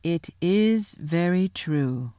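A woman voicing a majestic male lion king in a fantasy story, grave and measured, with a low growl. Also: animated, warm tone, no echo, studio quality. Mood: solemn. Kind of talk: audiobook fantasy character voice.

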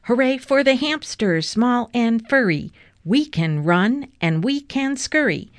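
A woman's voice: a little high, lively intonation, slow, no room sound, studio quality, voice pushed up. A woman voicing a cheerful young girl who leads a rhythmic team cheer in a children's storybook. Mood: peppy. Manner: rhythmic, chanting.

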